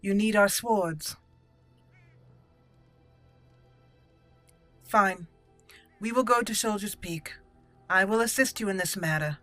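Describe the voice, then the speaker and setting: A woman voicing a resolute female elf mage in a fantasy game roleplay, speaking formally and with measured solemnity. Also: medium pitch, sharp tone, soft background music, close to an everyday voice.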